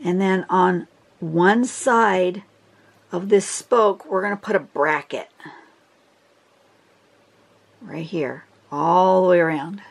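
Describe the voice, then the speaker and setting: A woman with a hoarse voice from a cold and laryngitis, talking casually through a drawing tutorial, chatty and rambling with fillers and throat clearing. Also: fairly low pitch, slow. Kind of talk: casual instructional talk. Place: indoors at a table, filming a phone screen.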